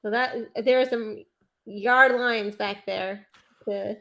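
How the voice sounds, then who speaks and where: A woman's voice: medium pitch, steady tone, slow, bright, forceful tone. A woman competing in a pun contest, bantering playfully with hesitant fillers and giggles over a video call. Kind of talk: quick-fire pun banter.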